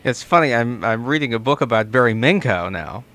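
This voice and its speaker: A man's voice: medium pitch, lively intonation, a little fast, a bit bright. Man hosting a radio interview.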